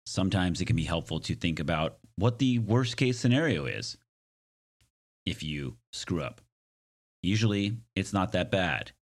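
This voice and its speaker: A man hosting a podcast solo in a casual, reflective way, with a slightly hoarse, raspy, smoky voice and a self-deprecating, joking manner. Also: sits low. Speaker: podcast host.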